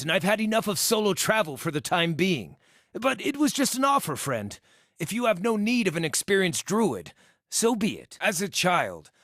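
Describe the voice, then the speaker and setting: A man's voice: high-pitched, a bit bright, no room sound, clean studio sound. A man voicing a witty, cheeky male wandering druid hunter in a fantasy video game, with a wry, theatrical way of talking. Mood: jaunty, friendly, then solemn. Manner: wry, theatrical, colloquial yet florid. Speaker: video game companion voice actor. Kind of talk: expressive scripted fantasy game dialogue.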